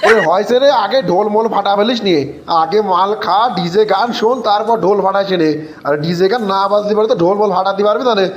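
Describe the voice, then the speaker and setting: A man acts out a bossy young village pal for a comic cartoon, in a very high male voice. He teases and nags rapidly in slangy Bogura-accented speech, on a slightly dull recording. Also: natural voice. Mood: teasing, egging on. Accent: Bogura, northern Bangladesh. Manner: slangy, nagging, teasing. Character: teasing young village drinking buddy, bossy but cautioning. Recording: dull lo-fi sound.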